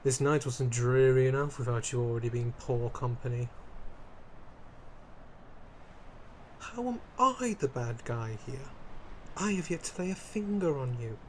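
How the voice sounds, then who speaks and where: A man's voice: medium pitch, animated, normal speed, slight background noise, close to an everyday voice. A man playing a centuries-old vampire lord in a scripted roleplay addressed to the listener, haughty and dramatic, wry and easily flustered. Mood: indignant, flustered.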